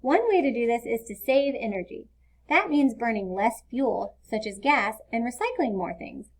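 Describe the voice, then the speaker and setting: Patient storybook mother, read by a woman, higher-pitched. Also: expressive, normal speed. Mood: explaining. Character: patient, caring mother explaining things to her child. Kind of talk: children's storybook read-aloud.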